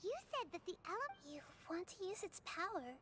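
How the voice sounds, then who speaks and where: A woman voicing a small, excitable, blunt girlish fairy companion in a video game, with a squeaky childlike voice. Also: normal speed, heavy background noise. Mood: alarmed. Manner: exclaiming, speaks of self in third person. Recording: game dialogue over music.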